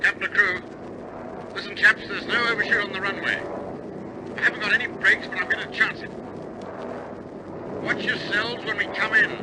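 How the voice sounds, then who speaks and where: An actor playing a resolute bomber pilot captain in a war radio drama, with a commanding, clipped military manner. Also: male voice, high-pitched, steady tone, normal speed, pauses often, lightly acted. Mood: grim resolve. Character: resolute male bomber pilot captain, grimly steady under danger. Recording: loud aircraft engine drone. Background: aircraft engine noise.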